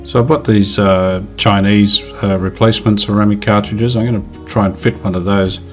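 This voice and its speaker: A man's voice: fairly low pitch, fairly even intonation, normal speed, faint music behind. A man giving casual, plain-spoken narration of a hands-on restoration job, explaining it step by step without hurry. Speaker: restoration hobbyist presenting his own video.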